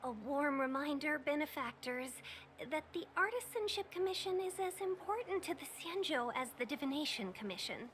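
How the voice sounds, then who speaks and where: A woman's voice: high voice, lively intonation, moderate pace, a bit bright, slight background noise. A woman voicing a courteous, cautious young guide in a video game, explaining things formally and politely. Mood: cautioning. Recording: background music throughout.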